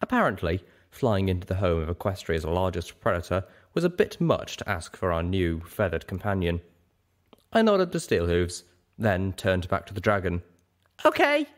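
Male audiobook narrator, deep register, animated and theatrical storytelling. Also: normal speed. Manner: theatrical, expressive reading. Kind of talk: scripted, dramatic fan fiction narration.

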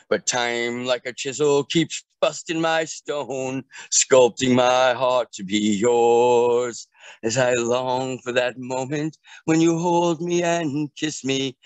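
A middle-aged man recites his own lyrics in a lilting, half-sung way, unhurried and drawn out. His voice is smooth and resonant. He is recorded outdoors in a garden on a video call, and the sound is dry and close. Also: mid-range voice, dry recording. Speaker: performer at an open mic. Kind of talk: slow recited song lyrics. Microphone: video call from a handheld device.

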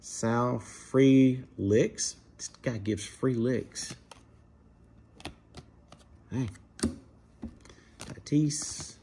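A man casually commenting to viewers while opening trading card packs at a dining table at home, in a relaxed, chatty way. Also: mid-range voice, very slow, gravelly, pauses often, slight background noise. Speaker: card breaker, channel host.

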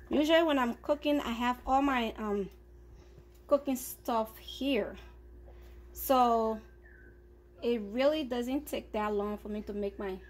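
Talkative woman cooking in her kitchen, kinda high voice, faint background hum. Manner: chatty, upbeat, addresses viewers as guys. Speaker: cooking vlogger. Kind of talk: casual cooking chat. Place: home kitchen at the stove.

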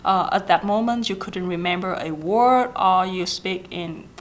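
A woman's voice: lowish voice, a little slow. Young Vietnamese-accented woman giving tips. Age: 20s. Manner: chatty, gestures often, frequent fillers and self-corrections. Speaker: vlogger giving language-learning tips. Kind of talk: casual talking-head advice. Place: small indoor office with a plant.

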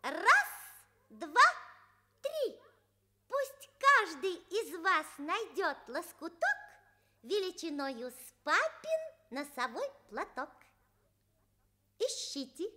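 Very high, slow magic needle fairy. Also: female voice, big pitch swings, pushed and bright, exaggerated voice. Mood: instructing. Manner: singing-out, deliberate, fairy-like. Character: kindly, commanding female magic sewing needle. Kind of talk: Soviet children's radio play.

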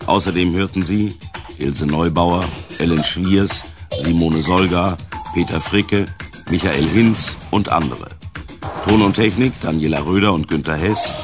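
A man's voice: low voice, measured pace, over music. A man reading scripted radio credits as a closing announcer.

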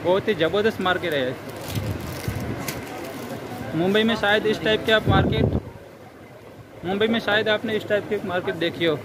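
A young man giving a casual walking vlog in a halting, repetitive way, recorded on a phone at arm's length. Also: sits high. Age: young adult. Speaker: vlogger. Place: busy outdoor street and crowded covered market. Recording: loud street and market noise.

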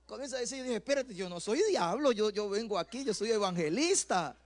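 A man's voice: high-pitched, animated, a little fast. A middle-aged man with an Ecuadorian accent preaching through personal anecdotes, acting out dialogue and repeating phrases into a handheld microphone. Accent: Ecuadorian Spanish. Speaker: pastor preaching. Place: church stage, indoors.

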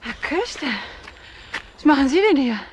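A woman's voice: sits high, lively intonation, moderate pace, noisy background. An actress playing a frank, forthcoming single mother being questioned in a German crime radio drama, in a conversational way. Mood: surprised, guarded. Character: frank, chatty single mother, office employee witness.

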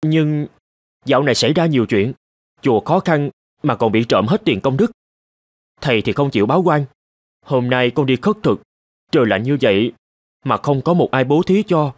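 A man's voice: medium pitch, expressive, moderate pace, pauses often, no room sound, clean studio sound, close to an everyday voice. A man voicing a young novice monk in a cartoon folk tale, polite, earnest and deferential to his master. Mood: aggrieved. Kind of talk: animated folk tale dialogue.

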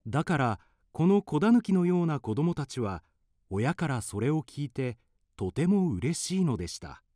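A man's voice: a little high, sing-song, a little slow, dark tone, no echo, clean studio sound. A man narrating a children's radio drama in scripted storybook style.

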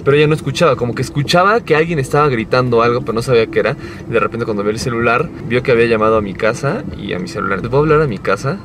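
A young Mexican man chatting casually to his vlog in a playful, animated storytelling way while driving. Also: mid-range voice, racing, hardly any pauses. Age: young adult. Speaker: vlogger. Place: inside a moving car. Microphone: camera mounted on the dashboard. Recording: car cabin with clear road noise.

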